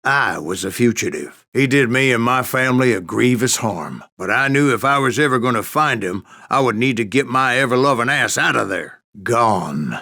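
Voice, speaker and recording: An actor playing an old Wild West bounty hunter who tells boastful tall tales in a folksy American Western drawl for a video game. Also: male voice, mid-range voice, lively intonation, moderate pace, few pauses, sharp tone, no room sound, studio quality. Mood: gritty, aggrieved. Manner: folksy, salty, cussing storyteller. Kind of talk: theatrical storytelling narration for a western video game.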